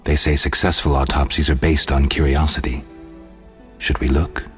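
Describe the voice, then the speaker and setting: A man voicing a stoic, gruff monster hunter in a video game, terse, deadpan and sardonic. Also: extremely low pitch, moderate pace, soft background music, exaggerated voice. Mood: analytical, deadpan.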